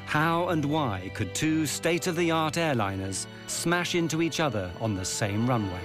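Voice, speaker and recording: A man reads documentary narration in a measured, grave way. A strong musical score runs beneath his voice. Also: fairly high pitch, lively intonation, over music.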